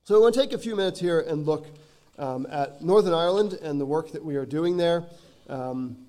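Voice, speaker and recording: Hoarse missionary man, mellow voice. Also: on the high side, a little fast. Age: 30s. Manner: earnest, frequent ums. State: hoarse from a cold. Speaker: visiting missionary. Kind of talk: church missionary talk. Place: church sanctuary.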